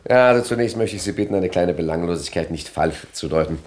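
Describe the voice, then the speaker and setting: An actor playing a cheeky, self-assured gangster boss with jovial mock politeness in a satirical radio crime drama. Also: male voice, low-pitched, fast, a little mellow, natural voice. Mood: suave, amused. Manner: jovial mock politeness, repeats little words.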